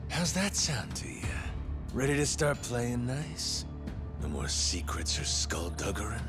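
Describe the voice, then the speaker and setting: A man's voice: medium pitch, big pitch swings, unhurried. A man playing a menacing, playful yakuza pirate captain in a video game dub, with a theatrical, folksy, taunting manner. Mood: threatening. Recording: music behind the voice.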